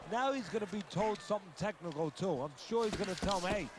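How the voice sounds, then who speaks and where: A man's voice: high voice, quick pace, close to an everyday voice. A man playing an opinionated boxing analyst, explaining tactics in an insistent, conversational way. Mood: assured, explanatory. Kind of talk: sports TV color commentary. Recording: loud arena noise behind.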